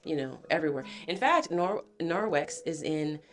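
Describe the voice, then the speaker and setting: A woman's voice: deep voice, animated, moderate pace. A woman from American Samoa who is sick with a cough, chatting casually at home and answering questions with lots of fillers. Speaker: host. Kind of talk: casual chat.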